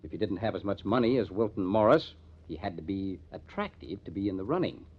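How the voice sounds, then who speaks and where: A no-nonsense police detective captain in a vintage radio crime drama. He speaks in a fairly low voice with emphatic, lively swings. The old recording sounds dull and muffled. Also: brisk, slightly rough. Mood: businesslike, emphatic. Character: gruff, matter-of-fact male police detective captain.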